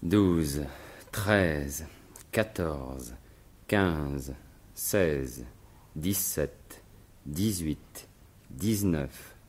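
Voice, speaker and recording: Low, slow, teasing gentleman burglar. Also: expressive, exaggerated voice. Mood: absorbed counting. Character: Arsène Lupin, witty gentleman burglar, absorbed and teasing. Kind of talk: 1950s French radio drama acting.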